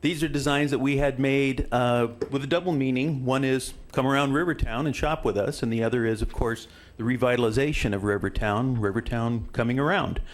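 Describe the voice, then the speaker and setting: A man's voice: medium pitch, fairly quick, few pauses. Cheerful man promoting his shop. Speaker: shop owner. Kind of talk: public comment.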